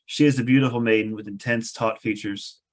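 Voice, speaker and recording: A man's voice: mid-range voice, steady tone, a little fast. A man reading stage directions as the narrator of a scripted table read.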